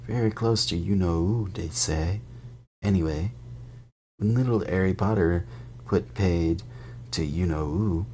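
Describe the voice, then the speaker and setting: A man voicing a chatty young male bus conductor, loud and eager in his gossip. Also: deep voice, a little hiss or hum. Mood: gossipy. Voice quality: loud, eager, talks in rapid bursts.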